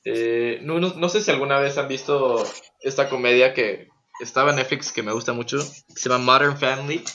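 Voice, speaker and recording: Bright-voiced young man on a lo-fi mic. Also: medium pitch, moderate pace. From United States. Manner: addresses listeners directly, enthusiastic recommendations. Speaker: podcast co-host. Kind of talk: casual chat. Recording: dull lo-fi sound, faint noise.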